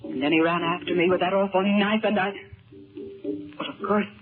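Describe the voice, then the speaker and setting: An actress playing a frightened, gentle mother held captive, in an earnest, dramatic 1930s radio serial style. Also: fairly low pitch, fairly even intonation, moderate pace, faint music behind, close to an everyday voice. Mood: distraught. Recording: muffled, tinny 1930s radio recording.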